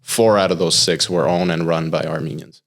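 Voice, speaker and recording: Deep-voiced young man chatting easily. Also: normal speed, creaky. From United States. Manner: relaxed, explanatory, with filler words and laughs. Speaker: chef and pitmaster, podcast guest. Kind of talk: casual interview talk.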